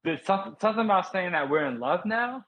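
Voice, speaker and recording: A man co-hosting a casual podcast, animated and joking, often stammering and repeating words. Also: sits high, fairly quick. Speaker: podcast co-host.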